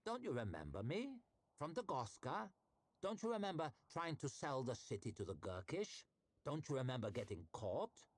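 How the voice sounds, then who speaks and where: A man's voice: fairly low pitch, very animated, a little slow, slightly rough, no echo, full character voice. A man voicing a sly, menacing woman who works as a fixer, with a sardonic, teasing manner. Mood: mocking.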